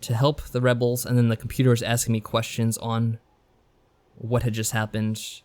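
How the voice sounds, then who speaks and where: A man with an average-pitched voice co-hosts a podcast. He talks in a loose, unscripted, easygoing style and tends to think out loud. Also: monotone, moderate pace. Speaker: podcast co-host. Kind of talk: casual unscripted podcast chat about games.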